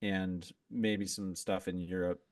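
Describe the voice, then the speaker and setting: Low-voiced man chatting off-mic. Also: takes it slowly, no room sound, distant mic. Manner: relaxed, rambling, lots of "like" and restarts. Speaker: podcast guest, musician. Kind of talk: casual chat.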